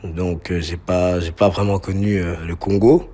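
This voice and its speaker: A man from Belgium gives a spontaneous personal testimony, reflective and halting, repeating words as he searches for them. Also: low voice, little pitch movement, dark tone. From Belgium, grew up around Liège. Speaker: interview subject telling his life story. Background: music under the voice.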